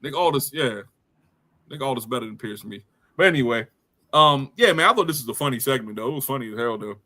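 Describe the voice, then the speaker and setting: A man's voice: mid-range voice, expressive, fast talker, bright, forceful tone. A man in his thirties hosting a casual podcast from home, reading viewer comments in loose slang, laughing often and mimicking people. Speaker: podcast host. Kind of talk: casual live-stream chat reading comments.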